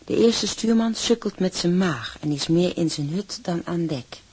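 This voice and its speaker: A very husky, wry seaman storyteller. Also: high voice, lively intonation, measured pace, darkish tone, no room sound, exaggerated voice. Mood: wry, confiding. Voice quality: husky, breathy. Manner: wry, confiding. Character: young seaman narrating his shipboard life wryly.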